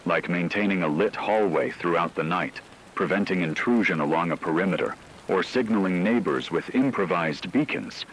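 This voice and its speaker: A man playing a grave radio news anchor, reading scripted emergency bulletins in a measured, formal way. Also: low voice, a little hiss or hum, poor audio.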